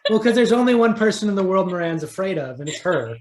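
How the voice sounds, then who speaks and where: A man talking casually as an interview guest, a writer thinking aloud in halting phrases. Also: high voice, expressive, darkish tone. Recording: roomy, echoing sound.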